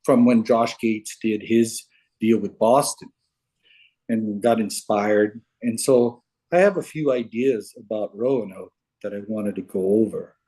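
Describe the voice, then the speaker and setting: A man from Hawaii on a video call, explaining his puzzle theories in a halting, unhurried way. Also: lowish voice, warm tone, rough recording. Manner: unhurried, halting, restarts often. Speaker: caller sharing puzzle theories. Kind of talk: casual video call chat. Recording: video call audio, breaking up.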